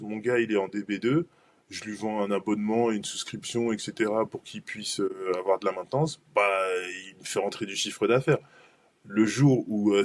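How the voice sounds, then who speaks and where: A man talks shop in a loose, chatty webinar style, piling up fillers and restarting sentences. His voice sits on the low side. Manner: rambling, informal, many fillers and restarts. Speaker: cloud benchmark analyst presenting a webinar. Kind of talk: casual webinar talk.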